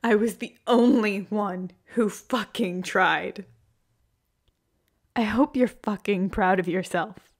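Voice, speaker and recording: A young woman playing a hurt, heartbroken girlfriend in an emotional, halting ASMR roleplay monologue. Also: medium pitch. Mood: bitter, angry.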